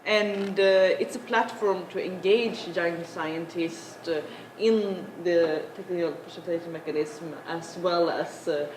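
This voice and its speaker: A young woman who is not an English speaker gives a halting panel talk, full of filler sounds. Her voice sits somewhat low. The room is echoey, and there is clear background noise. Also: darkish tone. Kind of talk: conference talk. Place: large conference room. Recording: echoey conference room, noisy.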